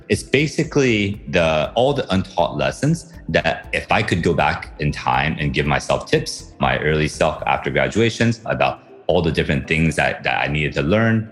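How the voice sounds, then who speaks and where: A man from Taiwan and the US answering interview questions conversationally, with frequent fillers. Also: rumbling low. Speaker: guest author.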